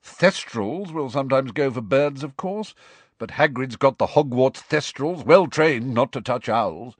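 A man voicing a gruff, practical woman professor with clipped, brisk speech in a storybook. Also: medium pitch, animated, dry recording, pitched above the performer's own voice.

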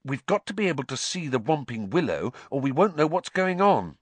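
A man voicing a determined young boy in an audiobook, speaking in urgent, hushed hisses. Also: fairly high pitch, big pitch swings, brisk, no echo, pitched above the performer's own voice. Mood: thoughtful urgency.

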